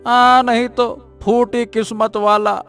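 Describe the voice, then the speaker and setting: A man playing a haughty, sneering village bully who taunts and threatens in an animated story. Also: very high-pitched, slow, soft background music, full character voice. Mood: mocking.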